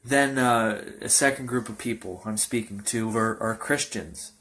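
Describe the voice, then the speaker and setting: A man in his early twenties, a pastor giving an informal personal testimony in a halting, loosely rambling way. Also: fairly low pitch, fairly bright tone. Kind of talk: informal testimony talk to camera.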